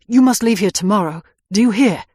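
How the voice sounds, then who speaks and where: A woman puts on a tormented young fugitive from a storybook, his voice dropped low and husky. He drags his words out slowly, flaring into vehement cries and then sinking to a breathy, tender hush. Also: no echo, studio quality, heavily acted. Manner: vehement outbursts, then hushed tender pleading. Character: anguished young man, hunted fugitive, passionate and brooding.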